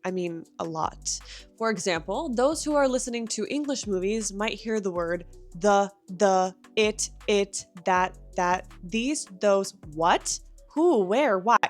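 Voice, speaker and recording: A young adult woman who teaches English, giving encouraging, direct language-learning advice in a scripted lesson. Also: mid-range voice.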